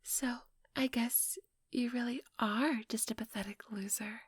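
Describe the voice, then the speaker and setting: A very breathy, whispering female vampire acted by a woman in an ASMR role-play. She speaks slowly and condescendingly, mock-sweet on the surface and coldly menacing beneath. The sound is close and dry. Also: on the high side, steady tone, dry recording. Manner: slow, taunting, mock-sweet. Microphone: close, dry microphone.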